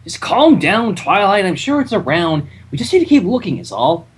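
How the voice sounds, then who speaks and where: A high-voiced young dragon sidekick, acted out by a man in a fan fiction reading. He is casual, offhand and sarcastic, with lively swings in pitch over clear background noise. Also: normal speed. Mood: placating.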